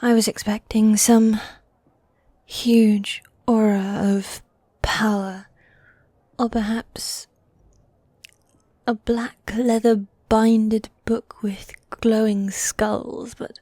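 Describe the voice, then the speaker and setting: A woman playing a teasing, needy yet dominant goth demon girlfriend in a fantasy ASMR roleplay, soft and breathy, often whispering, close to the mic. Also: fairly high pitch, flat delivery, slow pace, pauses often, no echo. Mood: weary, mildly exasperated. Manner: teasing, coy, affectionate, with sighs. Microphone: close, dry microphone.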